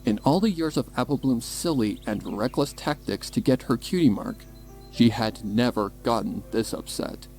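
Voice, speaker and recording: A man's voice: medium pitch, normal speed, darkish tone, low-quality recording. A man reading scripted, dramatic story narration as the narrator. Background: music bed.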